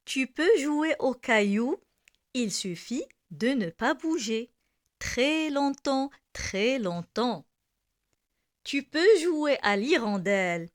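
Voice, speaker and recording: A woman with a high voice recites a poem for young learners. Her delivery is extremely slow and deliberate, leaving space between each short phrase. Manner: deliberate, with repeated lines and pauses.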